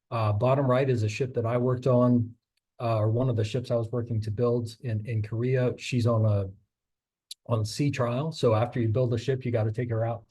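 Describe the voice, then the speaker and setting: Man, middle-aged, casual talk, rambling with fillers, husky, dark mellow tone, slightly hoarse. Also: medium pitch. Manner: loose and chatty, many fillers and restarts. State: losing his voice a little. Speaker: guest speaker on a maritime career.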